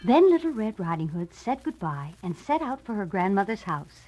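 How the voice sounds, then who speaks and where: A woman's voice: mid-range voice, big pitch swings, moderate pace, mellow, low-quality recording. A woman reading scripted narration for a children's read-along storybook.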